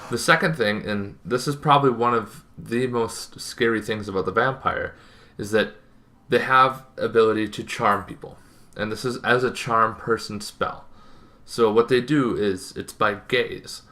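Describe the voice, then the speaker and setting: Bright-voiced young man, low pitch. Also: measured pace. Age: young adult. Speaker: host of a tabletop gaming series. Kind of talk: informal explainer to camera. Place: bedroom, indoors.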